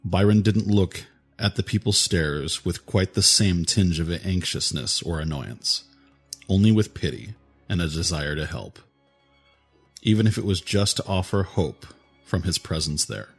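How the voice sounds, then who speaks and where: A man narrating a fantasy audio drama in a measured, even way. His voice is very low, and a faint music score sits underneath. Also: husky.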